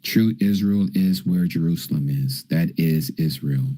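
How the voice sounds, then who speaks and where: A man's voice: low voice, measured pace, mellow, no room sound. A man teaching scripture on a livestream, answering viewers' questions in a casual way and often checking in with "okay?". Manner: explains with frequent "okay?" checks.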